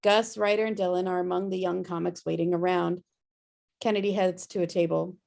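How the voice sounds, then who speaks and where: A woman's voice: on the low side, level tone, a little fast, gravelly, a little mellow. A woman reading scripted stage directions as narrator of a table read over a video call.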